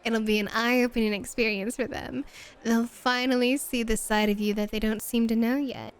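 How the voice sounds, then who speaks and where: A woman's voice: on the high side, fairly even intonation, moderate pace, clean tone, lightly acted. A woman playing a flirty, teasing, self-assured club hostess in an ASMR roleplay. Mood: teasing, confident.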